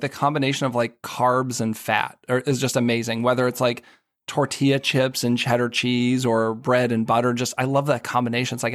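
A man's voice: mid-range voice, no echo, studio quality. A man hosting a podcast and chatting with a guest in an easygoing way. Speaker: podcast host. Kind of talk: casual chat.

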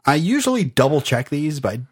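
Lively, animated man hosting a podcast. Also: fairly high pitch, brisk, husky. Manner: animated, laughs often, runs the game. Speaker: podcast host. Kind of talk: casual podcast chat.

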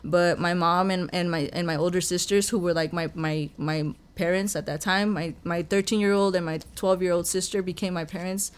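A woman's voice: fairly low pitch, fairly even intonation, moderate pace, hardly any pauses, clean tone. A young woman from Mexico, a rapper, talking earnestly and humbly as a podcast guest, often repeating her words. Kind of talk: casual podcast conversation. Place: podcast studio.

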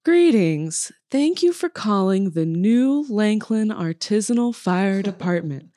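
A woman's voice: medium pitch, expressive, unhurried, warm tone, dry recording, clean studio sound, lightly acted. A woman playing a formal, pretentious fire department phone greeter in a comedy sketch. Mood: polished, self-satisfied. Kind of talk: comedy sketch table read.

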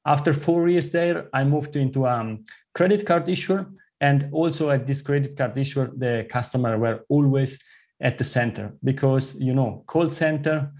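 A man's voice: medium pitch, normal speed. A man from southern Switzerland whose first language is Italian, speaking English with an Italian-Swiss accent as an earnest podcast guest. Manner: earnest, warm, slightly non-native phrasing. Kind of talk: conversational interview.

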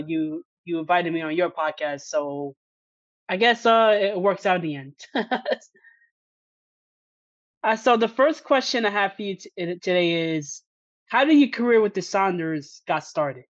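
A woman's voice: lowish voice, moderate pace, no echo. A woman hosting a sports podcast in a conversational interview style. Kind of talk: conversational interview with scripted introduction. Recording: muffled, slightly lo-fi audio.

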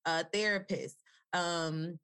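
A woman's voice: fairly low pitch, steady tone, slow, pushed and bright, clear, resonant tone. A woman on a podcast speaking earnestly, pressing her point about taking conversations further. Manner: earnest, advocating.

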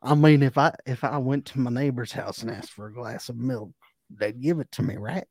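A man's voice: medium pitch, a little mellow, breathy edge, no room sound, studio quality. A man co-hosting a podcast, bantering playfully in casual chat. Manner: playful, bantering, quick to laugh. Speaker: podcast co-host. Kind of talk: casual comedic recap chat.

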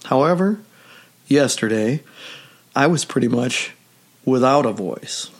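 A man with a rough, hoarse voice left over from a cold, speaking at a middle pitch. He hosts a solo podcast, talking to his listeners in a steady, measured way with deliberate pauses. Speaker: podcast host. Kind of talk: solo health podcast monologue.

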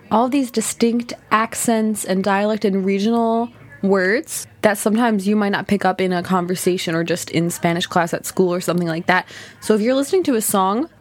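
A young adult woman hosting a podcast, giving casual, chatty advice in an easygoing, conversational way. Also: medium pitch. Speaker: podcast host. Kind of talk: solo podcast talk.

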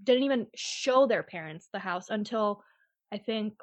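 A woman's voice: mid-range voice, unhurried, no room sound. A woman in her twenties, a real estate agent, answering interview questions in a blunt, casual way and acting out quotes as she goes. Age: 20s. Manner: blunt, animated retelling with quoted asides and frequent "like".

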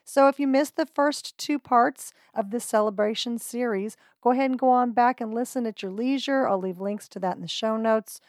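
A woman's voice: a little high, normal speed, few pauses, darkish tone, dry recording, clean studio sound. A woman hosting a podcast, introducing guest clips in an upbeat, friendly way with casual "um"s. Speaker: podcast host.

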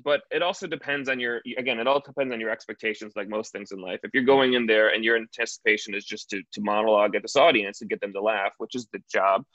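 A man who works as a stand-up comedian, chatting casually in an interview and telling stories with quick asides. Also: fairly low pitch, dry recording, lo-fi sound. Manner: animated storyteller, quick asides.

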